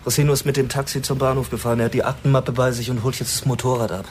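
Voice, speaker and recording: An actor in a German radio drama playing an urgent intelligence operative, brisk and argumentative. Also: male voice, medium pitch, fairly even intonation, natural voice. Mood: brisk report. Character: urgent, questioning male intelligence field operative. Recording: faint background ambience noise.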